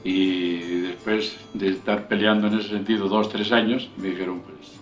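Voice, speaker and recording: A man's voice: fairly low pitch, flat delivery, normal speed, soft background music. Older Spanish-born man, distant and roomy. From León, Spain. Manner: hesitant, repeats words while recalling. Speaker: interviewee, emigrant. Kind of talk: documentary interview. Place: home living room. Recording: distant, roomy, dull documentary sound.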